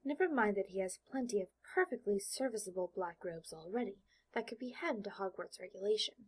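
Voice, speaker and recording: A woman's voice: medium pitch, lively intonation, fairly quick, breathy edge. A woman reading scripted fiction as an audiobook narrator, telling the story in present tense.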